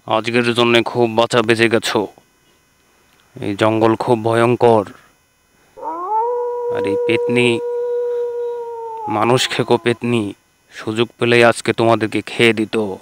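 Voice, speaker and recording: A man's voice: medium pitch, flat delivery, unhurried, long pauses, slight background noise, natural voice. A man playing a stern, protective holy hermit who admonishes with authority in a children's fairy-tale cartoon.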